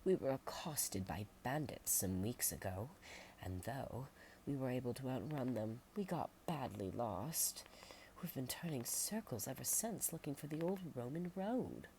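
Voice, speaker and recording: A woman voicing a weak, hungry rich male traveler in a hushed whisper for a storybook reading. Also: a bass voice, expressive, measured pace, slight background noise, pitched above the performer's own voice, full character voice. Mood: weary.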